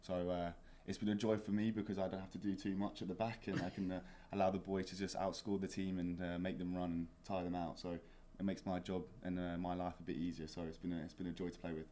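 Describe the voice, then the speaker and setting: Deep, even-toned southern English young man. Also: a little hiss or hum. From England. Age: young adult. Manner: halting, many "uh" and "um" fillers. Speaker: student soccer captain. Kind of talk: casual interview answers. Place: college studio.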